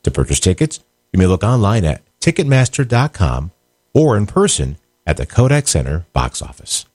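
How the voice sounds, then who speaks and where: Man, sponsor read, husky, lively pitch, dry recording. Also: no echo. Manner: measured, formal reading. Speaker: sponsor announcer. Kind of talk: scripted underwriting read.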